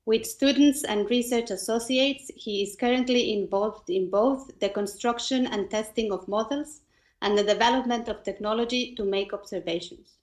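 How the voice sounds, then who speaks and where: A woman's voice: fairly high pitch, normal speed. A woman hosting a seminar, reading out a formal introduction carefully, with small stumbles over words. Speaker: seminar host introducing the speaker. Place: indoor room, online seminar. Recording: echoey room on video call.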